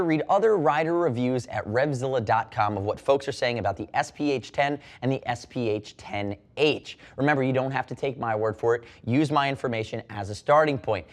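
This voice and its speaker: A man giving an unscripted, conversational product review, explaining as he goes and repeating key points for emphasis. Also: mid-range voice. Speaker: product reviewer and presenter for a motorcycle gear retailer.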